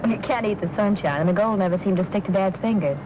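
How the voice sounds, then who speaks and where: An actress playing a self-possessed young cub newspaper reporter in 1940s film noir style. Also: female voice, mid-range voice, quick pace, lo-fi sound, noisy background, lightly acted. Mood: wistful. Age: young adult. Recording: 1940s film soundtrack with music behind.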